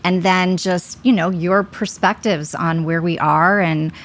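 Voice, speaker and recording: A woman's voice: lowish voice, fairly even intonation, measured pace. A woman hosting an interview, asking questions in a casual, encouraging way. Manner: encouraging, brief affirmations.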